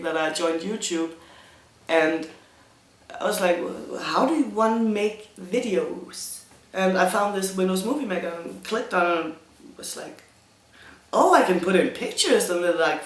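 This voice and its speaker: A man's voice: high-pitched, fairly bright tone. Hesitant Danish man, echoey room. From Denmark. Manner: hesitant, many ums and restarts, self-conscious on camera. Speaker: YouTuber answering viewer questions. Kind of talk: casual Q&A vlog. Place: room in front of a green screen.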